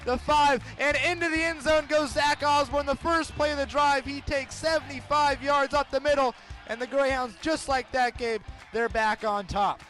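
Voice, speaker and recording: A young American man does live sports play-by-play for radio in a strikingly high voice. His delivery shifts between measured description and excited, shouted calls. Music is clearly audible behind the broadcast.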